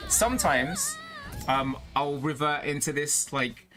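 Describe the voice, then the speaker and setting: A man's voice: fairly high pitch, sing-song, slow pace, bright, forceful tone, low-quality recording. A young British man with a slight American tinge to his accent, chatting loosely with viewers as a VTuber streamer, laughing often and rambling through fillers and restarts. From Britain. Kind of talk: casual chat with stream viewers. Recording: music playing behind the voice.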